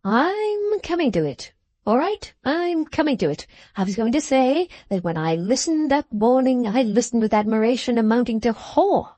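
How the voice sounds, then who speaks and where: A woman's voice: fairly high pitch, lively intonation, moderate pace, dark tone, no room sound, pitched above the performer's own voice. A woman voicing a jovial, boastful older man who speaks in broad, ungrammatical English, eager and enthusiastic. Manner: broad, rough-grammared, enthusiastic.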